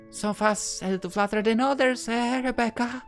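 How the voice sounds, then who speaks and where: Woman putting on a higher, teasing young lady. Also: measured pace, soft background music. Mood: sweet, teasing. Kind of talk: game character voicing.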